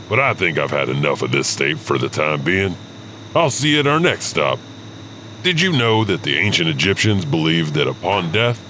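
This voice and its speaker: A man's voice: extremely low pitch, pushed and bright, slight background noise, poor audio. A man narrating a horror anthology from a script as its host.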